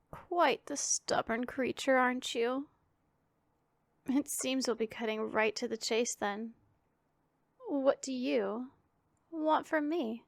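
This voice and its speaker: A woman voicing a powerful female demon in an ASMR roleplay, imperious and teasing with a secretly caring streak, speaking in formal, deliberate phrases. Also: sits high, gravelly, no room sound, studio quality, heavily acted. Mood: haughty, probing.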